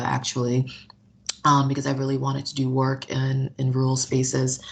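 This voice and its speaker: Low-voiced young American woman, chatty. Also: creaky. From Nigeria, raised in South Florida. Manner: chatty, laughs often, lots of "um" and "like". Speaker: guest. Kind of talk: casual interview conversation.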